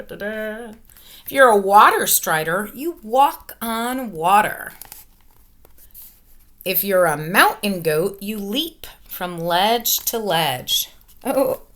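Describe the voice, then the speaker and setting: A woman in her forties reads a children's picture book aloud in a bright, forward voice. Her pitch moves up and down in lively swings, and she takes her time over the words. She speaks like an elementary teacher, stressing key words for young listeners. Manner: expressive, emphatic, question-asking, child-directed delivery. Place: small furnished room at home, indoors. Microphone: webcam or laptop microphone at arm's length.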